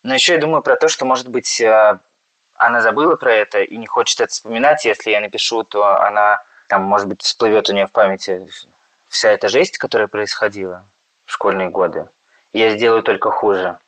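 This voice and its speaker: A man's voice: on the low side, steady tone, fairly quick, fairly bright tone, dry recording, low-quality recording. A man in his late twenties calling in with a hesitant, confessional question. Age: late 20s. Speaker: caller. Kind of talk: recorded listener question.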